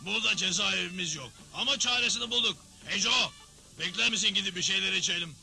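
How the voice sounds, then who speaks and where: A man's voice: sits high, quick pace, gravelly, sharp tone, soft background music, exaggerated voice. A man playing a domineering older Old West judge in a cartoon dub, blustering and theatrical as he revels in unfair law. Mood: gleeful, boisterous. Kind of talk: dubbed cartoon acting.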